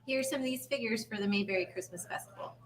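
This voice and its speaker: A woman's voice: a little high, quick pace, natural voice. A woman playing a friendly, slightly nervous small-town woman in a table read of a comedy series. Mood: friendly, unsure. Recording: slightly distant mic, faint noise.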